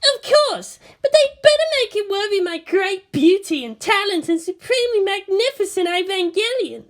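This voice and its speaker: Haughty teenage girl pilot played by a female reader, extremely high, animated, boastful and indignant storybook character. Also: normal speed.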